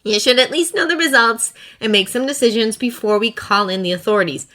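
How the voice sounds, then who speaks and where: Sharp, scolding assistant, voiced by a woman. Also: a little high, fairly quick, voice pushed up, lightly acted. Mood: exasperated.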